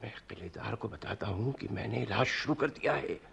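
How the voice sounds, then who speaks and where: An actor playing a shrewd royal envoy in a radio play, courtly, persuasive and obsequious, on a dull recording. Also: male voice, on the low side, animated, moderate pace, creaky, fairly bright tone, slightly rough, exaggerated voice. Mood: conspiratorial. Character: shrewd, deferential royal envoy posing as healer. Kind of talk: Hindi-Urdu radio play acting.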